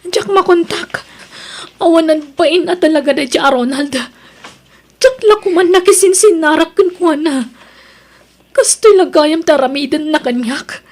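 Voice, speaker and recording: A woman's voice: sits high, a little slow, darkish tone. A woman with an Ilocano Filipino accent playing an earnest young female student torn between lovers in an audio drama. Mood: hurt, bitter.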